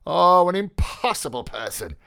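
Man acting a brash, high-pitched young mocker. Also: sing-song, measured pace, no echo. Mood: exasperated. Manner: mocking, exclamatory, declamatory. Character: brash, mocking young medical student, theatrical and flippant. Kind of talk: literary audiobook character voice.

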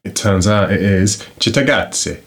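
A man's voice: on the low side, a little slow. A young man co-hosting a casual book podcast, playful and fond of puns, poking fun at himself. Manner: playful, punning, self-deprecating. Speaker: podcast co-host.

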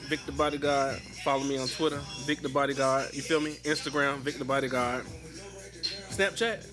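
A man hosting a casual podcast among friends, talking loud, joking and swearing in slang. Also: on the high side. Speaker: podcast host.

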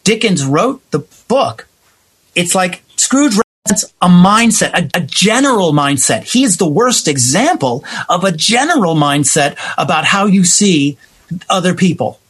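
A man's voice: high-pitched, very animated, unhurried, fairly bright tone, husky. A man talking in an impassioned, conversational interview style, explaining his ideas emphatically as a guest playwright and director. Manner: emphatic, explanatory, acting out imagined remarks.

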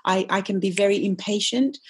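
A middle-aged woman, a wellness coach, talking in a casual interview, forceful and passionate, with many quick restarts. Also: medium pitch, slightly rough. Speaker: nutritionist and wellness coach, guest.

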